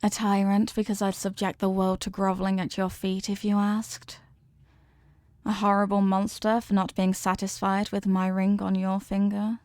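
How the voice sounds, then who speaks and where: A woman's voice: medium pitch, completely flat delivery, moderate pace, a little mellow, no room sound, lightly acted. Woman acting a possessive, lovesick wife, intimate and dry. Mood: pleading, obsessive. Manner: coaxing, melodramatic, lingering on words. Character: possessive, obsessively loving yandere wife, clingy and intense. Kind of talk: intimate ASMR roleplay audio drama.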